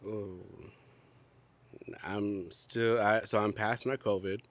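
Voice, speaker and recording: Young man, low voice, congested and coughing, relaxed podcast co-host. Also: slow, slight background noise. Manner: chatty, rambling with many fillers and laughs. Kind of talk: casual chat. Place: outdoors.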